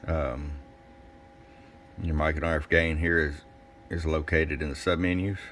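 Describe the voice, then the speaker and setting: A man's voice: rumbling low, steady tone, a little slow, fairly bright tone, slight background noise. A plain-spoken, folksy man giving a casual, off-the-cuff hands-on product demo at a workbench as a shop technician. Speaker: radio shop technician and presenter. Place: indoors at a radio workbench.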